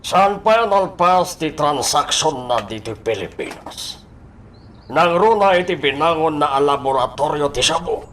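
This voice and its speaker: A man with an Ilocano Filipino accent acting a guarded, fatherly crime boss in a radio drama, with halting, drawn-out delivery. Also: a little high, lively intonation, fairly bright tone, slight background noise. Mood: commanding.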